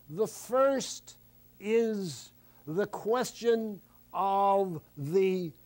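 A man in his sixties giving professorial media commentary in a deliberate, measured, analytical way with dry irony. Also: high-pitched, a little mellow. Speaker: media critic and presenter. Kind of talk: professorial commentary on newspaper articles. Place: small TV studio set crammed with newspapers and magazines.

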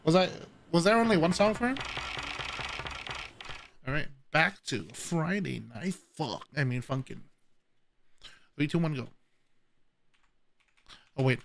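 A man's voice: on the high side, expressive, measured pace, pauses often, a little hiss or hum. A man live-streaming a game, chatting casually and welcoming his viewers, with the odd swear word thrown in. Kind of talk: casual livestream chat while gaming. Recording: loud game music behind. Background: rhythm game music with guitar.

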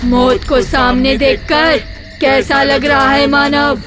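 A woman voicing a hungry, menacing, gloating forest witch in an animated horror story. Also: high voice. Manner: slow, drawn-out, cackling delivery. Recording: loud music behind. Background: music.

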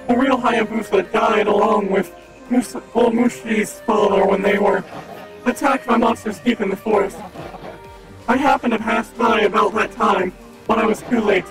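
Guy reading out a loyal guard dog, slow and solemn, kinda raspy, music playing behind. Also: high-pitched, fairly even intonation, low-quality recording. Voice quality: slightly raspy. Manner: slow careful reading of game dialogue.

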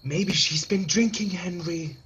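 A sleepy, querulous spouse, voiced by a woman in a radio play. The voice is lowish and very slow, disapproving, on a dull recording with some noise. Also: lightly acted. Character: sleepy, disapproving spouse calling from back room. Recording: dull, noisy, distant-sounding online recording.

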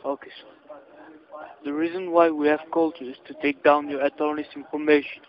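Male phone agent, slightly high voice, officious and stern, muffled lo-fi call audio. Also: little pitch movement, a little fast. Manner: stern, officious, stilted phrasing. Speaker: scam caller posing as tax agent. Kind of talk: scripted phone spiel. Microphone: telephone line. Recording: dull, lo-fi phone call recording.

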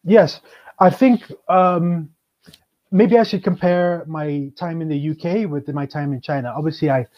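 A man, a guest speaking in a measured, explanatory way on a video call. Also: a little high. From Kenya. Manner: measured, explanatory, with frequent ums. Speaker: guest, chamber of commerce manager. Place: indoors, plain room. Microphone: webcam on video call. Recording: dull lo-fi video call audio.

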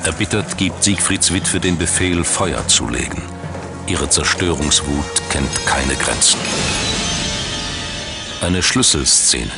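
Male documentary narrator, low register, measured dramatic storytelling over prominent music. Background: background music.

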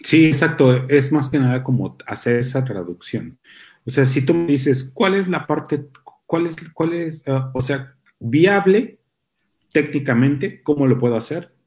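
A man's voice: medium pitch, a little slow, darkish tone. Young mexican guy on a webcam call, loose and rambly, lots of restarts and slang. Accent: Mexican Spanish. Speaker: guest security consultant. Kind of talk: casual livestream talk. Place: small room indoors, seated at a desk.

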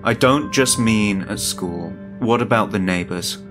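A man's voice: lowish voice, measured pace, over music, close to an everyday voice. Man as a calculating father feigning friendliness. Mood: probing. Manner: falsely reassuring, casual.

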